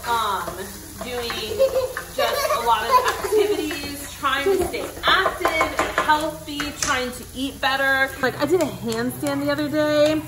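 A woman's voice: high voice, animated, measured pace, background noise. Chatty woman vlogging while she cooks. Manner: chatty, upbeat, talks while cooking. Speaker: family vlogger. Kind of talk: casual cook-with-me vlog. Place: home kitchen, indoors. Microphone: handheld phone camera at arm's length.

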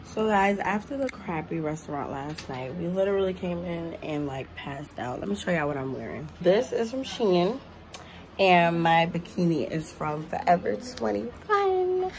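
A woman's voice: on the low side, big pitch swings, moderate pace. Chatty young woman vlogging on a phone. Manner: chatty, addresses viewers as y'all. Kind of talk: casual travel vlog chat. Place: restaurants, streets and a rental apartment on a trip. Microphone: phone held at arm's length. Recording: loud music and crowd behind.

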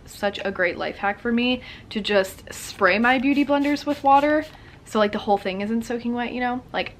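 A woman's voice: mid-range voice, a bit bright, slight background noise. A young woman in her twenties chatting casually to camera while doing her makeup at home, with a slightly congested, sore throat. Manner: chatty, lots of "like" fillers. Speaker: beauty vlogger. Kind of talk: casual get-ready-with-me chat. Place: bedroom at home. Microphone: camera in front, close framing.

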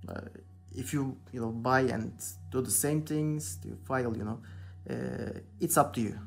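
Man, turkish accent, young adult, halting second-language english, lots of "you know", mid pitch, soft music behind. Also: vocal fry. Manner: hesitant, searching for English words, many "you know". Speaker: hobby painter, channel host. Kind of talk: casual talk while painting. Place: indoor workbench.

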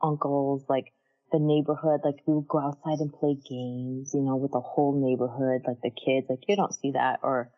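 Woman, 30s, from Armenia, low voice, muffled call audio, chatty. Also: little pitch movement, moderate pace. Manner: chatty, warm storytelling with many fillers. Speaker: guest, character designer. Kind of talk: casual interview chat.

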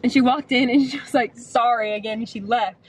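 A woman in her twenties, chatting casually into a handheld camera as she vlogs from a car and a hotel room, telling stories with quick asides. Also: fairly high pitch, fairly even intonation, husky. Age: 20s. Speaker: vlogger. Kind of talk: casual vlog chat. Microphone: handheld camera at arm's length.

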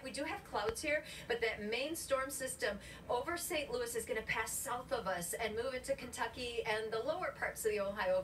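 A woman's voice: on the high side, fairly bright tone. Female American TV meteorologist, middle-aged, conversational live delivery, picked up from a distance with slight noise. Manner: chatty, conversational presenter delivery. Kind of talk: live weather forecast and interview. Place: outdoors in a store parking lot. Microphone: handheld reporter mic, heard off a filmed TV screen. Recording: distant TV speaker re-recorded, noisy.

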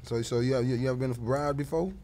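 A young man from hosting a casual podcast interview, loud and teasing, often repeating his words. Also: mid-range voice, quick pace, slight background noise. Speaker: podcast host. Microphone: desk mic on boom arm.

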